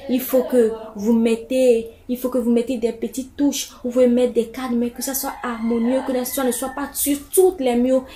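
A woman's voice: a little high, warm tone. A woman giving casual, repetitive home decorating advice to her viewers, with many fillers and restarts. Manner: chatty, repeats words, many fillers.

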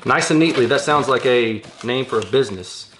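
Male, 30s, mid-pitched, informal hands-on reviewer thinking aloud; clear handling and crinkle noise behind. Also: normal speed. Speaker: product reviewer unboxing audio gear. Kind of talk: casual hands-on unboxing commentary. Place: small indoor workshop room. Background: packaging crinkles, clicks, rustling.

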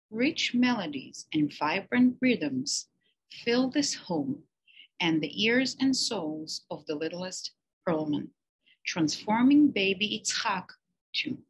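A teacher reading a children's picture book aloud, slow and expressive with emphatic pauses. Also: female voice, mid-range voice, husky, rough recording.

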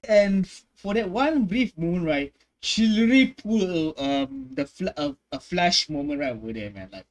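A man in his twenties giving casual, slangy reaction commentary, exclaiming and repeating his phrases. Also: fairly high pitch. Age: 20s. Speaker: reaction video host, gamer. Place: small bedroom, indoors.

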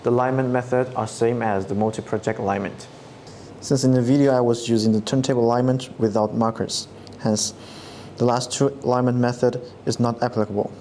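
Flat-toned man explaining software steps. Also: mid-range voice, brisk, a little hiss or hum. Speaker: product trainer. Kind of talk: scripted tutorial narration. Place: studio set with dark backdrop. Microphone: lapel mic.

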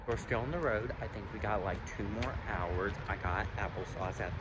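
A man's voice: lowish voice, moderate pace, very noisy. A young man chatting casually and playfully to a vlog camera, recorded on a handheld phone. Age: young adult. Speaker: vlogger. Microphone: phone at arm's length.

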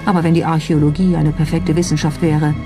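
Documentary narrator, medium pitch, scripted delivery over prominent music. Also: little pitch movement, quick pace. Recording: music behind the voice. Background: background music.

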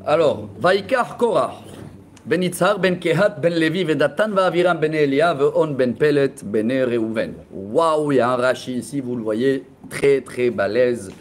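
A man in his forties, a rabbi teaching a lively Torah class in a classroom, telling stories with laughter and gestures into a close webcam. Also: medium pitch, unhurried, a little hiss or hum. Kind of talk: lively storytelling lesson. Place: classroom with bookshelves, indoors. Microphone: laptop webcam at close range.

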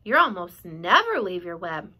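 A woman's voice: on the low side, big pitch swings, moderate pace, pushed and bright, husky, heavily acted. A woman voicing a timid young male pig who asks anxious, pleading questions and stammers.

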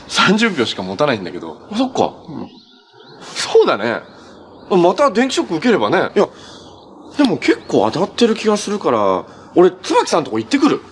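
A young man acting in a TV drama as a cheerful, selfless hero, speaking naturally in a gentle, earnest way. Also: mid-range voice. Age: young adult. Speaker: actor in a superhero TV series. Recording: background noise, some reverberant scenes.

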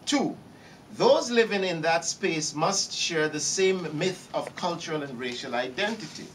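A man's voice: a little high, expressive, a little slow, a bit bright, a little hiss or hum. Middle-aged man lecturing at a podium. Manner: gestures broadly, repeats words while reaching for phrasing. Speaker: keynote speaker. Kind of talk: academic lecture, partly read. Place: lecture hall, behind a podium. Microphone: podium microphone. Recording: slightly distant, faint room ambience.

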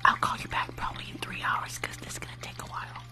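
Woman acting a whispering, snide spa receptionist. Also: lightly acted. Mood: dismissive, snide. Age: young adult. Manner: condescending, snarky, drawn-out judgmental remarks. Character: rude, judgmental female spa receptionist, condescending and snide. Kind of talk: whispered ASMR roleplay. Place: small room at home, indoors. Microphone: close furry-windscreened condenser microphone.

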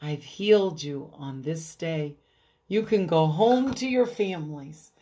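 A gentle but commanding healer speaks in a storybook telling, voiced by a woman. His words are slow, steady and firm, in her own warm register. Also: sits low, a little hiss or hum, voice pushed down. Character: calm, commanding male healer.

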